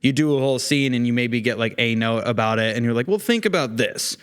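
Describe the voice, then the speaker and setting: A man's voice: medium pitch, quick pace, clear, resonant tone. A man who is a theatre actor, talking casually as an interview guest in a rambling way, often acting out bits of imagined dialogue.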